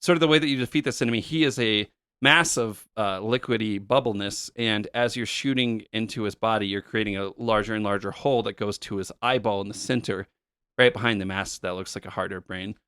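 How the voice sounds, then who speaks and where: A man co-hosting a podcast, casually walking through retro game levels with a relaxed, explanatory manner and plenty of fillers. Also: lowish voice, a little fast, smooth, dry recording, studio quality. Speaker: podcast co-host. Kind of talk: casual chat about retro games.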